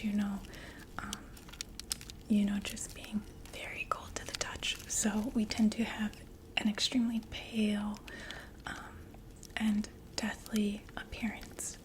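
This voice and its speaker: A woman playing a polite vampire makeup saleswoman in an ASMR roleplay, whispering softly with gentle, attentive, explanatory patter. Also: mid-range voice, natural voice, rough recording. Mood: gently explanatory. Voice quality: soft breathy whisper.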